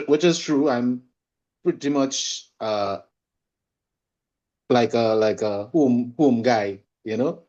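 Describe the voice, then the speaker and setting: A man with a Trinidadian accent speaks conversationally and reflectively as an interview guest, often pausing and repeating words. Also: mid-range voice, darkish tone, lo-fi sound. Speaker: guest, singer and holistic coach.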